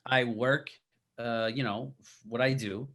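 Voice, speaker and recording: A man around forty, a podcast co-host, chatting casually in a halting way and repeating himself. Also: mid-range voice, fairly even intonation, fairly bright tone. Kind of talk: casual chat.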